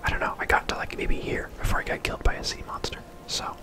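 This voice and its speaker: An ASMR video host whispering casual, rambling gameplay commentary in a breathy, unvoiced voice. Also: fast talker. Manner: soft-spoken, rambling, casual.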